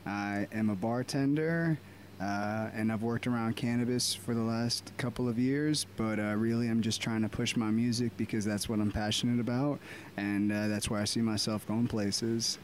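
A man in his twenties from Baltimore, a DJ talking casually and reflectively about his life as an interview guest, with frequent "uh" pauses. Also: fairly low pitch, slight background noise. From Baltimore, USA. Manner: relaxed, reflective, many "uh" pauses. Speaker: podcast guest, DJ.

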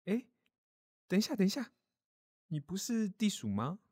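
A man voicing a kindly grown-up mole in a children's audio story, gentle, caring and reassuring. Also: high voice, big pitch swings, normal speed, breathy edge, no echo, studio quality, full character voice. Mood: surprised.

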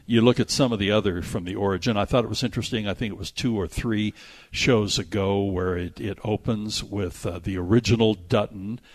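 Male movie-show host, lower register, conversational delivery of entertainment news with off-the-cuff asides. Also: steady tone, brisk, hardly any pauses. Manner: conversational, reads news items aloud with asides. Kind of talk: casual movie and TV news chat.

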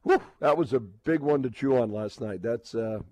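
A man hosting a sports radio show in casual talk, halting and repeating words as he builds toward a point. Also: mid-range voice, dark tone, no room sound.

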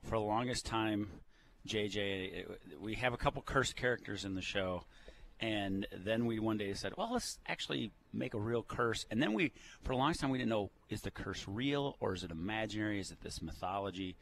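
Man, lowish voice, casual storytelling, jokey radio guest, faint noise behind. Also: animated, a little fast, creaky, a bit bright. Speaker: drama teacher, radio guest. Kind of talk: casual anecdotal chat.

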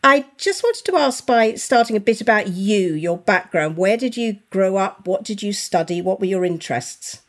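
A woman co-hosting an interview podcast, introducing the guest and asking questions in a measured, articulate way. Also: mid-range voice, lively intonation. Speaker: podcast co-host.

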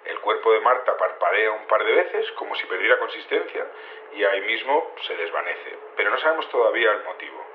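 An actor playing a matter-of-fact security investigator, explaining hesitantly over a phone-like radio link. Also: male voice, on the low side, very animated, brisk, slight background noise. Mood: hesitant, earnest. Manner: hesitant, stumbling, earnest explaining. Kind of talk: news phone interview in audio fiction. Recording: roomy, narrow radio or phone line.

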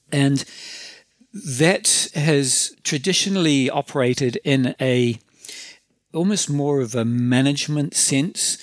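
New zealand guy answering interview questions, slow and methodical, dry close mic. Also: medium pitch, no room sound. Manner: deliberate, methodical, lists points in series. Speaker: college council leader.

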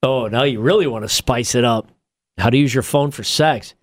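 A man's voice: mid-range voice, moderate pace, faint music behind. A man co-hosting a casual comedy podcast, reading out tips and facts with wry, offhand asides and casual swearing.

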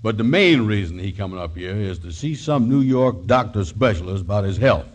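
A slow-witted radio comedy schemer who always seems to be in a fix. His voice sits low, with a folksy drawl and mangled big words. It comes from an old 1940s radio broadcast. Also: animated. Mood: explaining, sly.

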